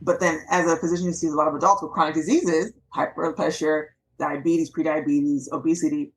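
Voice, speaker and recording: Casual, chatty woman from los angeles, quick and animated. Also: deep voice, poor audio. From Los Angeles, USA. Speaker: physician and author guest. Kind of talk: casual interview chat.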